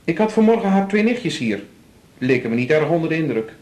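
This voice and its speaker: A Dutch actor playing an officious, flippant nursing-home porter in a radio drama, wordy and mock-formal, in an echoing hall. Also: male voice, on the high side, very animated, brisk, darkish tone. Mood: chatty, defensive. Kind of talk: naturalistic 1980s radio drama acting. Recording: roomy, echoing studio scene.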